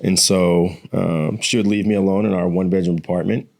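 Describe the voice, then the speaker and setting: Plainspoken male guest with a light Boston accent and a lowish voice, talking earnestly in a studio interview. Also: steady tone, brisk, vocal fry, darkish tone. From Massachusetts, near Boston. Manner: earnest, plainspoken, says "man" often. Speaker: guest, combat veteran and author. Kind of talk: casual interview storytelling. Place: home studio.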